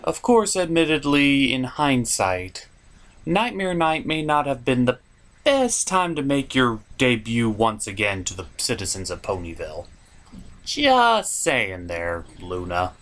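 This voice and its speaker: A man delivers scripted comedy review narration with a very lively, swooping pitch. His tone is sarcastic and exasperated as he hosts. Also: unhurried. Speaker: host of a comedic cartoon review show.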